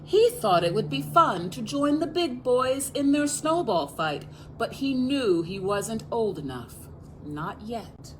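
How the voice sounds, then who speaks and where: A woman's voice: on the high side, sing-song, slow pace, slight background noise, poor audio. An American woman reading a picture book aloud as a children's librarian, expressively, speaking directly to young listeners.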